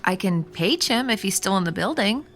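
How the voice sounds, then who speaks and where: A woman's voice: medium pitch, lively intonation, normal speed, a bit bright, soft background music. An actress playing a polite, helpful female clinic receptionist in a radio drama.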